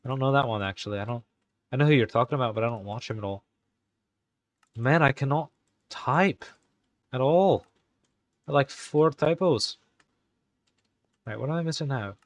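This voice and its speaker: A man's voice: mid-range voice, normal speed, long pauses. A young man live-streaming in a put-on Irish accent that slips now and then, chatting casually and muttering to himself while he types game commands.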